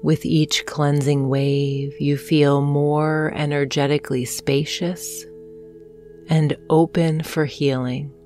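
A woman narrating a guided hypnosis meditation in a soothing, gentle manner, leaving long pauses between phrases. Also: low voice, level tone. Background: soft meditation music with singing bowls.

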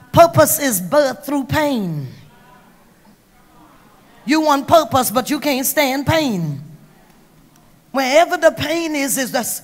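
A middle-aged woman from Trinidad preaching an emphatic sermon on a handheld mic, going from hushed to loud. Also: high voice. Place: large banquet hall on stage. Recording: long hall reverb.

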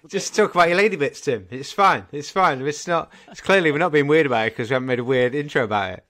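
A man's voice: a little high, fast, a bit bright. A man in his thirties with a Scouse accent, co-hosting a podcast in casual chat, joking and rambling with lots of fillers. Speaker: podcast co-host. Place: home room, indoors. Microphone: desk condenser mic on video call.

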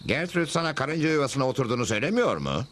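Çizgi film dublajında kibar, sakin ve iğneli bir kovboy kahramanı seslendiren bir erkek oyuncu. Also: male voice, medium pitch, big pitch swings, racing, lightly acted. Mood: dry, skeptical. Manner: polite, dryly ironic. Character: calm, dry-witted cowboy hero, politely skeptical. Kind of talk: Turkish cartoon dub. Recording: loud music under the voice.

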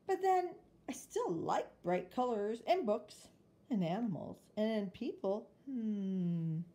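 A woman's voice: medium pitch, lively intonation, slow pace, mellow. A woman voicing an imaginative, earnest little girl in a storybook, exclaiming in wide-eyed wonder. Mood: pondering.

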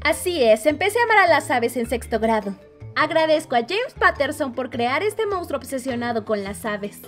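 Woman playing a high-pitched, excitable cartoon storyteller. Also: normal speed, soft background music. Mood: playful storytelling. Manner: expressive, playful, comically dramatic. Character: excitable animal-obsessed young woman animator telling childhood stories. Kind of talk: animated storytime narration, Spanish fandub.

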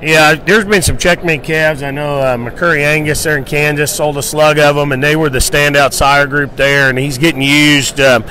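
A man's voice: a little high, steady tone, moderate pace, few pauses, pushed and bright, poor audio. A middle-aged man hosting a casual promotional livestock talk into a handheld microphone. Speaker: livestock show host. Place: indoor convention hall booth.

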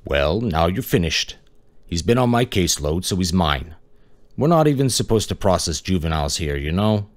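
A man plays a huge detective with a very low, calm voice that leaves no room for argument. He speaks slowly and assuredly, in a dry, close recording. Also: no room sound. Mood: commanding. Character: huge older male detective, deep and calm.

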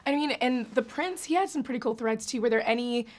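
Chatty, higher-voiced American woman. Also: lively intonation, fast. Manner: chatty, enthusiastic. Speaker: entertainment interviewer. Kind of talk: casual celebrity interview. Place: indoor junket interview set, seated.

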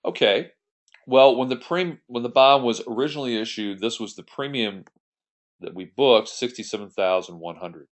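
A man's voice: mid-range voice, moderate pace. A man giving an explanatory tutorial as an accounting instructor, working through an example step by step in a conversational way. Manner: step-by-step, conversational, poses questions then answers them. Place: voice-over on a screen recording.

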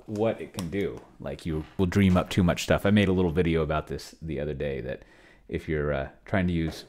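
A man in his forties talking casually on a livestream in a halting, digressive way, close to a desk microphone. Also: low-pitched. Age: 40s. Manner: halting, digressive, self-interrupting. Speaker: streamer. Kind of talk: casual rambling livestream talk. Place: small home office with bookshelves. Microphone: large desk microphone up close.

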